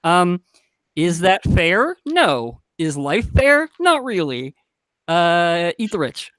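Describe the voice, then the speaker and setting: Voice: medium pitch, very animated, slow pace, a bit bright, no echo. A man speaking as a panelist in a casual discussion, frank and informal with a dry turn of phrase. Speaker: podcast panelist.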